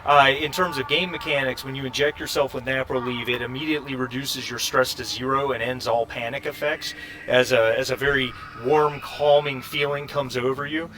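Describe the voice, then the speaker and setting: A man running a tabletop roleplaying game as game master, speaking in a halting, thinking-aloud way. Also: mid-range voice, fairly even intonation. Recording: clearly noisy background hum.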